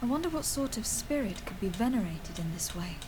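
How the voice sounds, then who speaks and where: A composed young noblewoman adventurer in a fantasy game, played by an actress. She talks in a measured, formal way at medium pitch. Noisy ambience runs clearly behind her. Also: big pitch swings, lightly acted. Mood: uneasy musing. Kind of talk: video game voice acting.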